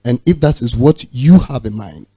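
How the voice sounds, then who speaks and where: A man's voice: medium pitch, lively intonation, normal speed, mellow, breathy edge, no echo. A man who is a lawyer asking a panelist a pointed, formal question. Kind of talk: question from the floor.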